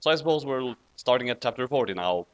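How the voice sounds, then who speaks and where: A man's voice: mid-range voice, expressive, fast talker, muffled recording. A man from Norway hosting a casual commentary on fan fiction, talking in a hesitant, rambling way. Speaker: host of a commentary reading.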